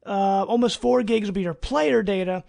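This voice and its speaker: A man's voice: high voice, moderate pace, vocal fry, a little mellow. A man hosting a gaming news podcast, presenting in an informal, conversational way. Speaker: podcast host.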